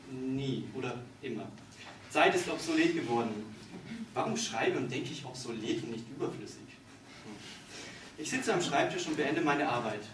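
A man in his thirties giving a deliberate, dramatic literary reading of his own satirical monologue on stage. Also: mid-range voice, a bit bright. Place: on stage in a small dark theatre. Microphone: distant camera in the audience. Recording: distant, echoey hall with background noise.

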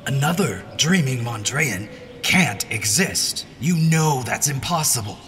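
A man's voice: mid-range voice, lively intonation, a little slow, a bit bright, faint music behind. An actor playing an anxious, skeptical man in a fantasy audio drama, questioning and agitated in manner. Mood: disbelieving. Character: anxious, skeptical male companion monitoring dream connections.

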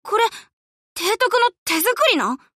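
A woman's voice: extremely high pitch, sing-song, a little slow, a bit bright, no room sound, clean studio sound, heavily acted. A woman voicing a brash, casual, teasing young tomboy in an anime game, blunt and slangy. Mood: curious.

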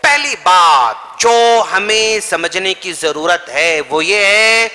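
A man's voice: high-pitched, lively intonation, very slow, sharp tone. A man in his thirties delivering a Friday sermon as an imam, emphatic and repetitive, speaking into a headset mic in a mosque hall. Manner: emphatic, repetitive, gesturing while preaching.

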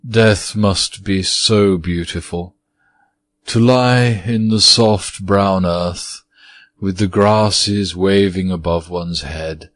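A weary old male ghost sighs out each word in a low, dreamy murmur, as a man reading the story puts him on. His voice sits very deep and drags along slowly. The sound is close and dry. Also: fairly bright tone, dry recording, exaggerated voice.

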